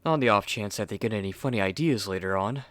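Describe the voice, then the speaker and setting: A man's voice: lowish voice, quick pace, fairly bright tone, no room sound, lightly acted. A man playing a haughty, impatient, self-important artist in an ASMR roleplay, speaking in a dry, condescending, theatrical way. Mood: musing, disdainful. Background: rain and thunder.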